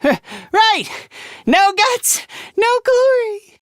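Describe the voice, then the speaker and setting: A self-loathing young student fighter from a video game, with a very high, timid voice. He speaks slowly and hesitantly, stumbling over first words and belittling himself, and his pitch swings widely. The sound is close and dry. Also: very animated, fairly bright tone, dry recording, exaggerated voice. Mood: excited, straining. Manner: hesitant, stammering, self-deprecating. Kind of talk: English video game voice acting.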